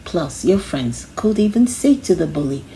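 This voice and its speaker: Female storybook reader, mellow and unhurried, instructive with animated intonation. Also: lowish voice, slight background noise, rough recording. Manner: instructive, emphatic on key phrases. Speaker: children's book reader. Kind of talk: read-aloud of a children's self-help book.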